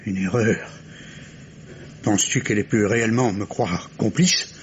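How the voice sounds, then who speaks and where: A man's voice: lowish voice, normal speed, natural voice. An actor playing an anguished, grave man torn between love and suspicion, in the theatrical style of a 1950s radio mystery. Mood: troubled. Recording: dull, noisy old radio recording.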